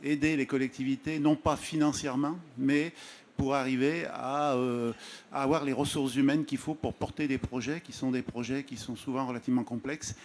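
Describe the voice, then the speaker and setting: Middle aged guy, mayor type at a meeting, medium pitch, halting, repeats his words. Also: steady tone, a little mellow, a little hiss or hum. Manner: hesitant, repeating words as he searches. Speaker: small-town mayor. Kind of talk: spontaneous question from the floor. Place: conference room.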